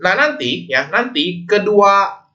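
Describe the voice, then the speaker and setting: A man's voice: high voice, sing-song, slow, bright, forceful tone, muffled recording. A man with an Indonesian accent giving an informal explanatory lecture as a teacher, in a conversational way that often checks in with listeners. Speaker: accounting lecturer.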